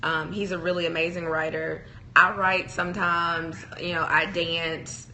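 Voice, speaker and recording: A woman's voice: on the low side, flat delivery, measured pace, sharp tone, a little hiss or hum, poor audio. A young woman co-hosting a casual couple's chat show, rambling and playful with frequent giggles. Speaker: podcast co-host.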